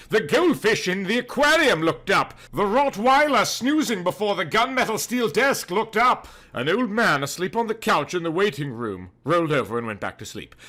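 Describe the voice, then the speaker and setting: A man's voice: sits high, pushed and bright. A man reading a comic detective story as scripted audiobook narration, with a dramatic, mock-serious delivery.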